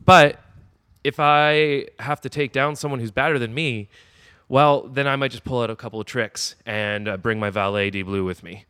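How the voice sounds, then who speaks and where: A cocky man bragging through a podcast interview as a wrestling heel. His voice is smooth and clear, recorded dry and close. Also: medium pitch, normal speed, pushed and bright, no echo. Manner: boastful, cocky heel persona. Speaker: wrestler guest.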